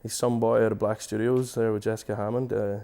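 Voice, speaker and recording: A man with a Donegal Irish accent, a singer-songwriter guest chatting in a studio, hesitant and restarting often, his voice trailing off quietly. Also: fairly low pitch, flat delivery, a little fast, vocal fry, clear, resonant tone. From Donegal, Ireland. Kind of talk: casual interview chat. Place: radio studio.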